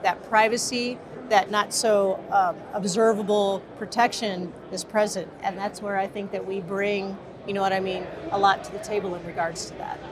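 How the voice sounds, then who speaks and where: A woman's voice: medium pitch, fairly even intonation, normal speed, few pauses, noisy background. A woman from the Midwest, co-owner of a protection business, answering interview questions in an earnest way with frequent fillers.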